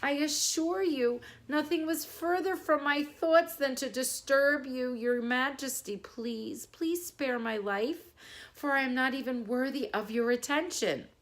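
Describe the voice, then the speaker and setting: A woman voicing a frightened, polite tiny mouse in a bedtime story, squeaky and pleading. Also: measured pace.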